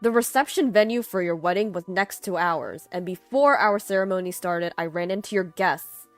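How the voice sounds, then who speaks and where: An indignant older company chief with a commanding, forceful manner, acted out by a female narrator. He is a stern figure in a dramatized story, with music underneath. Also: fairly low pitch, animated, moderate pace, no room sound, lightly acted. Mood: stern, angry. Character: stern, angry older male CEO, groom's father. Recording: music behind the voice.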